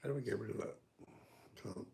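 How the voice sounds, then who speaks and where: A man's voice: lowish voice, fairly quick, gravelly, warm tone. A man chatting casually as an off-mic co-host of a live stream, moderating the chat.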